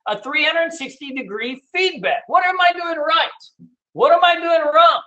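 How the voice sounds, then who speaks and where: A middle-aged man teaching day trading in a casual, loud and blunt way, hooting and swearing as he talks over a screen share. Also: very high-pitched, moderate pace, lo-fi sound. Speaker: trading educator.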